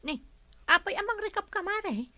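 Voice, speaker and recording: A woman acting a chatty, wheedling neighborhood housewife in an audio drama, expressive and speaking with a Filipino Ilocano accent. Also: very high-pitched, normal speed, dry recording, exaggerated voice.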